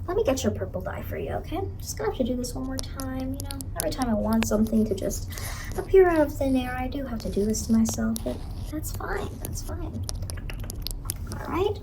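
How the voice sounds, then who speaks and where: A woman voicing a cheery, eerie clown ice-cream vendor in a horror ASMR roleplay, softly coaxing and reassuring a child up close over background noise. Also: high voice. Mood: playful, reassuring.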